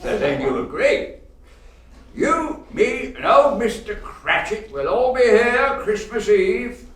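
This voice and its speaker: A miserly grumpy old uncle for a radio play, swoops around a lot, big roomy echo. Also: male voice, high voice, big pitch swings, a bit bright, exaggerated voice. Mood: smug. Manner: grumpy, theatrical, drawn-out delivery. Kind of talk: golden-age radio play acting. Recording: echoey room, distant mic, faint noise.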